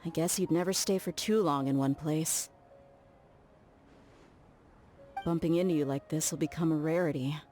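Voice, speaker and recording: An actress playing an easygoing, confident young mercenary woman in a video game, with a relaxed, teasing, friendly manner. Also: deep voice, fairly quick, slight background noise, natural voice. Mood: wistful.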